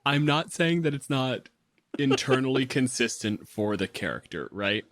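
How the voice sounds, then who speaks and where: A man's voice: medium pitch, expressive, normal speed, a bit bright. A man speaking casually and bluntly, teasing a fellow player at a tabletop game.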